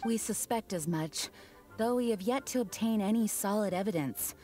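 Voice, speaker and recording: An actress plays a dutiful woman knight leading her order in a fantasy game. She sounds composed, formal and earnest, at a medium pitch. Soft music runs underneath. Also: normal speed, close to an everyday voice.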